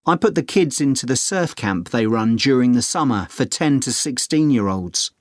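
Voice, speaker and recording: A man's voice: mid-range voice, animated, normal speed, no room sound, studio quality, natural voice. A man acting a friendly, experienced middle-aged surfer dad giving informative, encouraging advice in a scripted exam dialogue. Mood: helpful, reminiscing.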